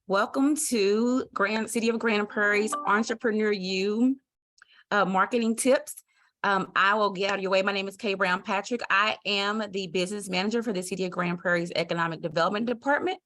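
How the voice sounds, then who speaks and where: A woman who manages a city business program introduces an online session with slow, careful announcements. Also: medium pitch, steady tone, fairly bright tone. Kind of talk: introducing a webinar. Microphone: video call.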